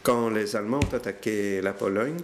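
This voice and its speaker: An older man recounting his family's history in a hesitant, halting way, with many fillers and restarts. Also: lowish voice, slight background noise. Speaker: interviewee telling family history. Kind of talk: hesitant spoken recollection.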